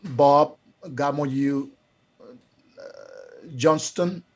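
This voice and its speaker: A man's voice: fairly high pitch, very slow. A Liberian man, a pastor and guest, talking earnestly in a casual interview with repeated words and uh fillers.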